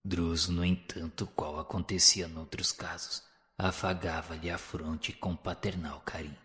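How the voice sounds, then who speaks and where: A man giving a solemn, measured narration of a spiritual novel in a radio drama. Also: low voice, level tone, a bit bright, big-room sound. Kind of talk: scripted literary narration.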